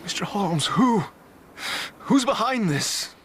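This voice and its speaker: An actor playing a gentlemanly baronet in a radio drama, earnest and agitated. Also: male voice, high-pitched, lively intonation, slow, full character voice. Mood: shaken, questioning. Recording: radio drama with clear ambient noise.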